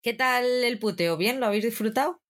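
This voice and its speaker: A woman's voice: fairly high pitch, fairly quick. A woman co-hosting a podcast, teasing and playful in casual chat. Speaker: podcast co-host. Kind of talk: casual chat among friends.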